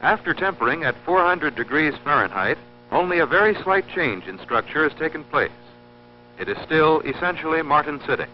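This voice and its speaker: A man narrating an instructional film in a formal, scripted, measured and precise teaching manner. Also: on the high side, very animated, faint music behind. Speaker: narrator of an industrial training film. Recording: dull lo-fi old film soundtrack, humming.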